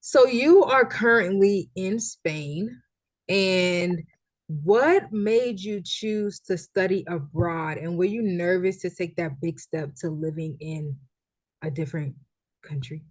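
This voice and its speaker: Low-voiced woman hosting an interview. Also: takes it slowly. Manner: friendly, frequent "mm" and "right" as she listens. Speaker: interview host. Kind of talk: casual interview chat.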